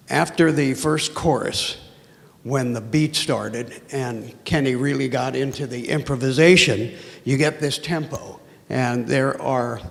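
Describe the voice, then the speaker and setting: A hoarse audience member speaks earnestly, leaning hard on his words. His voice is deeply gravelly and rings out in a reverberant hall. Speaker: audience member asking a question. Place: auditorium with audience.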